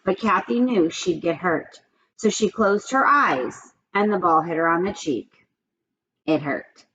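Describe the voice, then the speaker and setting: A woman's voice: on the low side, lively intonation, a little slow, fairly bright tone, dull audio, slight background noise. A woman reading a children's storybook aloud, expressively and with asides, speaking straight to young listeners.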